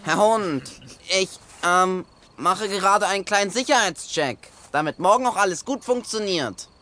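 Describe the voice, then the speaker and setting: A man's voice: high voice, lively intonation, moderate pace, pushed and bright. An actor playing a cheeky, scheming little boy raven in a children's audio drama, with a brash, grumpy, sly way of talking. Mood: flustered.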